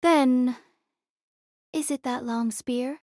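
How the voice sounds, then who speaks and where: Woman as noble female knight, high voice, formal, courteous, proud, fantasy audio drama. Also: fairly even intonation, drawn-out words, dark tone, no room sound, studio quality. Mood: courteous, resolute. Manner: formal, dignified.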